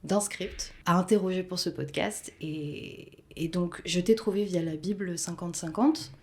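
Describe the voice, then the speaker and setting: A woman hosts a podcast interview in a somewhat low voice. She talks hesitantly, searching for words and filling pauses as she frames her questions. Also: a little mellow, husky. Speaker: podcast host. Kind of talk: interview conversation.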